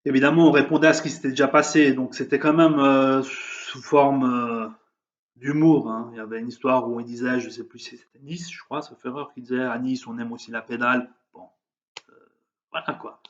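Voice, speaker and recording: A man's voice: mid-range voice, steady tone, moderate pace, muffled recording. A man giving an emphatic, heated opinion monologue, hesitating often with fillers. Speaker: vlogger. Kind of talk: opinion monologue on current events.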